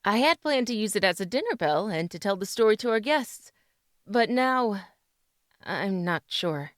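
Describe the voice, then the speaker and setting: A woman's voice: medium pitch, animated, normal speed, no echo, close to an everyday voice. A woman playing a spirited, clever doctor's wife in an old-time radio style drama. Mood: uneasy. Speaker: actress.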